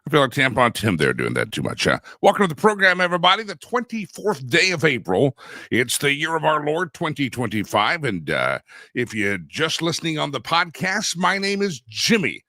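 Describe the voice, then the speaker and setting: A man hosting a talk show with a lively, bouncing delivery. His voice is bright and a little hoarse from a cold, and he rambles and jokes with plenty of fillers. The sound is dry and close on a studio mic. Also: a little high, normal speed, dry recording. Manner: rambling, joking, filler-heavy. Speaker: radio and stream host. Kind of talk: casual talk show monologue.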